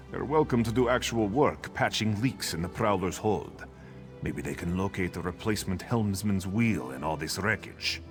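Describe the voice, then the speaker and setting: A swooping, scornful privateer sailor. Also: male voice, low-pitched. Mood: grudging, sardonic. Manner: sardonic, salty, theatrical. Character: gruff, scornful male privateer sailor in a fantasy game. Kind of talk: scripted video game dialogue.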